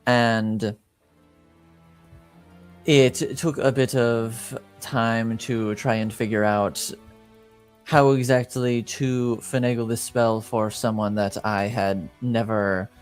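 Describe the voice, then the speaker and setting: A man narrating as game master, improvising in measured, halting phrases. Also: medium pitch, fairly even intonation, clear, resonant tone, faint music behind.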